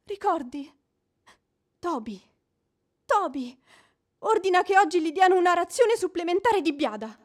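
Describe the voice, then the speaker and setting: Young widow in radio drama, high voice, super melodramatic, mournful and proud. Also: female voice, lively intonation, measured pace, long pauses. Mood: passionate grief. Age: young adult. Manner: declamatory, mournful. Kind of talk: theatrical radio drama acting.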